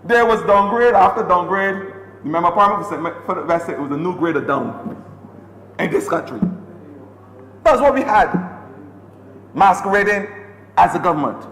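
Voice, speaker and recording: Barbadian man, MP, fiery repetitive floor speech, raised voice, booming chamber reverb. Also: animated, moderate pace, pauses often, fairly bright tone. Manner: impassioned, repetitive oratory. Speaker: member of parliament. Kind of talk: parliamentary debate speech. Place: parliament chamber. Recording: echoey chamber with long reverb and faint hiss.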